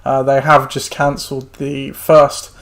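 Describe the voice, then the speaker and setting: A man's voice: mid-range voice, monotone, a little slow, vocal fry. A man hosting a casual sports podcast, chatty and rambling with lots of fillers, his voice a little worn as he says he is losing it. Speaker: podcast host.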